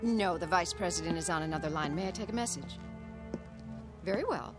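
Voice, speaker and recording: A woman acting a lowish-voiced brisk secretary. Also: music in the background, lightly acted. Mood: brisk, polite. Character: brisk, matter-of-fact female bank secretary. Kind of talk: radio drama acting.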